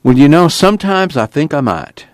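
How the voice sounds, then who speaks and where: A lonely, resigned farmer, as a male narrator voices him in an audiobook. He talks quietly in folksy rural speech, his pitch still moving a lot. The recording is dry and close. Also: very animated, moderate pace, no room sound.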